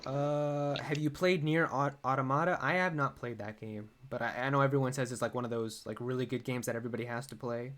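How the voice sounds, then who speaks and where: A man's voice: medium pitch, fairly even intonation, fairly quick, few pauses, fairly bright tone, slight background noise. Laid-back man hosting a gaming podcast. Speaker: podcast host reading viewer questions. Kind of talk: casual gaming chat.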